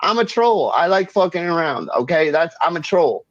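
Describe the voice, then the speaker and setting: Through a muffled, dry microphone comes a man with a fairly high voice. He hosts in a casual, ranting way, blunt and full of swearing, often circling back over his own words. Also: big pitch swings, moderate pace, no echo. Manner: blunt, swearing, repeats himself. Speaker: host. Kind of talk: casual chat, ranting commentary. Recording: dull lo-fi mic audio.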